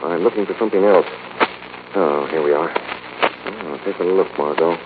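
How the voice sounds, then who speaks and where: A suave, deep-voiced male sleuth, played by an actor, speaks tersely and briskly as he reasons. The style is 1940s radio mystery. The recording is very muffled, with clear noise. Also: close to an everyday voice. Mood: focused. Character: suave, urgent, analytical amateur sleuth, adult man.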